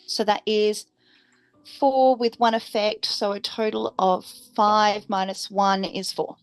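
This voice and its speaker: A woman's voice: mid-range voice, level tone, takes it slowly, dry recording, soft background music, low-quality recording. A woman playing a tabletop roleplaying game, chatting casually and thinking aloud hesitantly.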